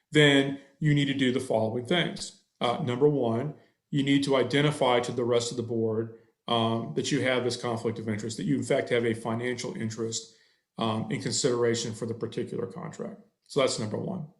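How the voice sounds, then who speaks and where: A middle-aged man explains points of law to a board over a headset. His voice is mid-pitched and sounds a little echoey on the video call. He is thorough and long-winded, and fills pauses with "um". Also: little pitch movement. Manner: thorough, slightly long-winded, many fillers. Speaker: attorney answering board questions.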